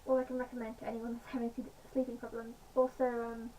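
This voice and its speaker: A woman with a slightly croaky voice, chatting casually in a home vlog with many pauses and fillers. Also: a little high, level tone, dark tone. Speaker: vlogger. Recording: distant mic, faint background noise.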